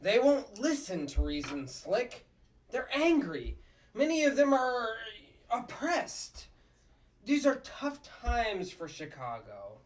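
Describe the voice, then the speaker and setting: A woman's voice: on the high side, big pitch swings, slow, recorded from a distance, rough recording. A woman voicing a nervous, flustered male puppet district attorney in a comedic table read, sometimes stammering. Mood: weary.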